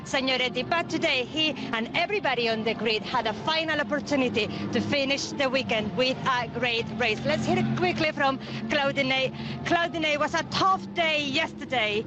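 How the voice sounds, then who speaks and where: High-voiced female grid reporter. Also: highs cut off. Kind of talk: pit lane interview. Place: outdoors on the race grid.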